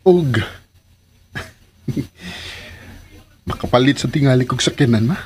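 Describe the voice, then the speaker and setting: A man's voice: medium pitch, slow pace, natural voice. A man playing a hopeful, hardworking young son in a radio drama, with a Cebuano Filipino accent. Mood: hopeful, dreamy.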